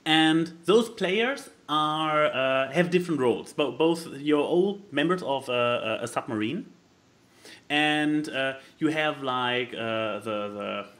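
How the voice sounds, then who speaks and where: A man who is not a native English speaker, chatting casually as a podcast co-host and haltingly searching for words as he explains things. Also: a little high, lively intonation, fairly bright tone. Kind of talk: casual chat explaining a board game.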